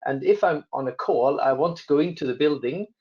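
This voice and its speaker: A man's voice: fairly high pitch, a little fast, a little mellow, dull audio. A man from Sweden with a Swedish accent, a telecom guest answering interview questions with frequent restarts and fillers. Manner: hesitant, many restarts and fillers.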